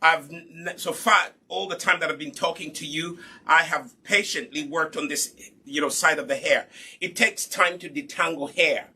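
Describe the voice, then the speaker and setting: A man leads a live hair care lesson, patient and instructive. His voice sits a little high, with a very bright, sharp tone and a husky texture. He often poses a question and then answers it himself. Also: normal speed. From Uganda.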